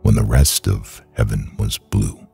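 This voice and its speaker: A man with a gravelly, raspy voice reciting solemn poetry dramatically, brooding, in halting phrases with long pauses. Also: very deep voice, fairly even intonation, a little mellow, soft background music. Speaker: poetry reader.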